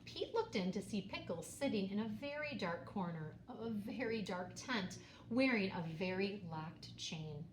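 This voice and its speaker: A woman reads a children's picture book aloud in an expressive, dramatic way, pausing and stressing key words. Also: medium pitch. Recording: roomy echo, faint background noise.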